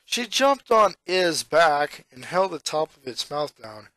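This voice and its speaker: Animated high-voiced man narrating a story. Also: slow, no room sound. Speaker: narrator reading fan fiction. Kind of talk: expressive amateur story narration.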